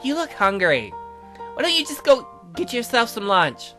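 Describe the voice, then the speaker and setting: A man's voice: very high voice, huge pitch swings, normal speed, pushed and bright, soft background music, pitched above the performer's own voice, exaggerated voice. Man doing a brash, teasing schoolgirl. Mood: pushy. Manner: blunt, cheeky, pushy.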